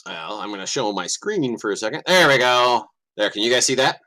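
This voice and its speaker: A man's voice: medium pitch, brisk. A middle-aged man, a guest game master, chatting casually on a livestream call and cursing offhandedly as he hunts through his screen. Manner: lively, swears casually, mutters while searching his screen.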